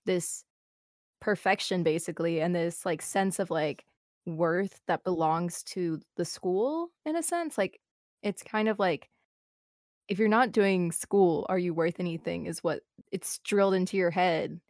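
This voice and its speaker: A woman's voice: fairly low pitch, a little mellow, dry recording, clean studio sound. A young woman in her early twenties, a streamer talking casually and earnestly with many fillers. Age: young adult. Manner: fast, filler-heavy, earnest talk. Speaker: guest streamer. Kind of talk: casual chat.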